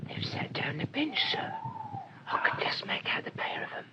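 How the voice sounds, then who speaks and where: A man's voice: normal speed, lightly acted. A man playing a bumbling, deferential police constable in a radio play, whispering apologetically. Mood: apologetic. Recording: muffled radio drama recording.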